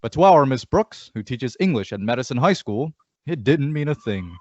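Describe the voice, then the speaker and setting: A man's voice: mid-range voice, big pitch swings, normal speed, a little mellow. Actor as male sponsor announcer, old radio style, polished, swooping delivery, dull audio. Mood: upbeat, selling.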